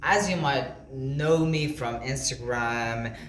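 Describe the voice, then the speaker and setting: Male motivational vlogger, non-native English speaker, medium pitch, earnest and halting delivery, recorded in an echoey room. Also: a bit bright. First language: not English (second language). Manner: halting, repetitive, earnest phrasing in second-language English. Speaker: motivational content creator. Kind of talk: informal motivational talk to camera. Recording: echoey room with faint background noise.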